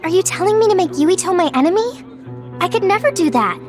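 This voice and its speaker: Hot-headed loyal young heroine, bright high voice with a lot of movement. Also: female voice, animated, fast talker, music in the background. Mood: indignant. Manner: earnest, indignant. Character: spirited young woman, loyal and hot-tempered. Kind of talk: anime game cutscene acting.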